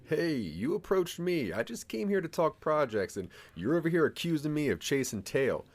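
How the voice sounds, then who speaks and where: A man's voice: fairly high pitch, big pitch swings, moderate pace, a little mellow, voice pushed up. A man playing a guarded, flirty tech hobbyist in an audio drama, bantering with slight awkwardness. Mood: mock defensive banter.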